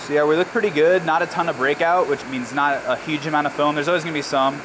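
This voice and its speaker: Brewer man, slightly high voice. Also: quick pace. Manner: relaxed, explanatory, uses casual slang. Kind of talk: hands-on explanation. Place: large brewery floor with steel tanks, indoors. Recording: clear machinery and air-con noise.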